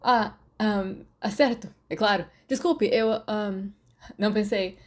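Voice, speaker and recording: A woman playing a brisk, friendly, easily flustered teenage boy in an audiobook, energetic and casual. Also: mid-range voice, natural voice. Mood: flustered, awkward. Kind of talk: audiobook character dialogue.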